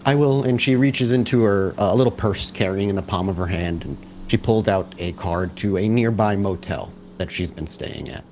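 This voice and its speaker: A man's voice: sits low, moderate pace, few pauses, a little mellow, slight background noise. A man narrating a tabletop roleplaying game as storyteller, describing scenes and asking players questions.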